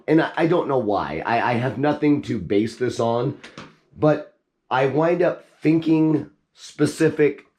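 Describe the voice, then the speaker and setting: A man whose voice is hoarse and cracking from a cold talks casually to his viewers. He rambles and restarts his sentences while he takes a machine apart. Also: mid-range voice, darkish tone. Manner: rambling, with frequent restarts and asides. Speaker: YouTube host. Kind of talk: casual chat while tinkering.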